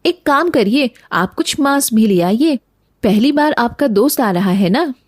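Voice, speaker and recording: A woman's voice: on the high side, animated, unhurried, no room sound, studio quality. A woman playing a dutiful, fretful wife of a village woodcutter in a cartoon story, polite and homely. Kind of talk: Hindi cartoon story dialogue.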